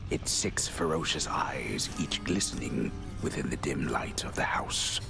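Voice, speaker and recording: A man playing a solemn, grandiose storyteller narrating a mythic Underworld in a video game, with slow, pompous, ornate delivery. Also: rumbling low, lively intonation, creaky, full character voice, rough recording. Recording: loud music behind the voice. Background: loud music.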